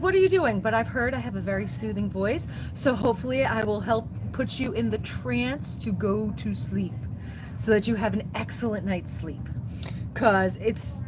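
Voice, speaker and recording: A woman with a slightly high voice chats on a livestream from inside her record store, bright and upbeat as she rambles and greets viewers by name. She keeps tossing in "so yeah" as she moves around. Steady noise sits behind her voice. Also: normal speed. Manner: chatty and upbeat, often saying "so yeah". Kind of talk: casual livestream chat, reading viewer comments. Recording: noisy background.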